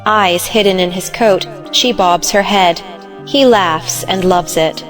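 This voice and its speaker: A woman's voice: medium pitch, slow, fairly bright tone, faint music behind. A woman giving scripted narration, reading out the stage directions of a play.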